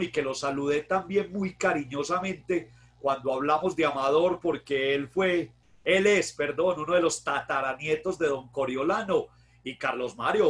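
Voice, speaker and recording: A man with a paisa accent from Antioquia giving a conversational history lecture online, often adding tag questions and correcting himself. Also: fairly high pitch. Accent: Paisa Colombian Spanish. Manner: conversational, warm greetings, frequent tag questions, self-corrections. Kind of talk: virtual history talk to an online audience.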